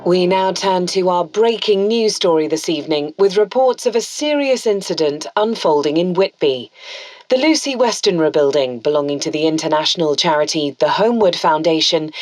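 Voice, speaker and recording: An actress playing a composed news anchor, reading a bulletin in a formal, measured manner within an audio drama. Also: female voice, lowish voice, no room sound, lightly acted. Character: composed female television or radio news anchor.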